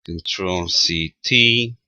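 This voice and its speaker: A man giving an informal technical demonstration as a presenter, halting and thinking aloud as he goes. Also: low voice, bright, forceful tone, no room sound. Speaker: amateur radio tutorial presenter. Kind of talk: informal spoken software demonstration.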